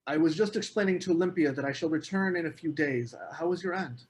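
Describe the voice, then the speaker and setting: An actor plays an evasive, well-mannered gentleman in a drama table read. His husky voice sits a little high for a man, and his formal courtesy carries an uneasy edge. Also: fast. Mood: anxious. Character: anxious, evasive gentleman lodger, politely making excuses.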